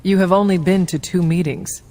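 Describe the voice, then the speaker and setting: A man's voice: high voice, steady tone, moderate pace, a little mellow. A man acting as an earnest, literal-minded young hero in a video game cutscene, with precise, formal speech.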